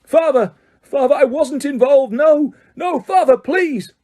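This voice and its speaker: A man's voice: extremely high pitch, unhurried, warm tone, pitched above the performer's own voice. A man reading aloud voices a terrified teenage boy in chains who screams and pleads. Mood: desperate.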